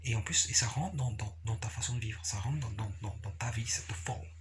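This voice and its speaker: A man giving a casual, unscripted explanation, repeating himself haltingly and often checking that the listener follows. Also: lowish voice, background noise. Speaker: capoeira practitioner sharing views.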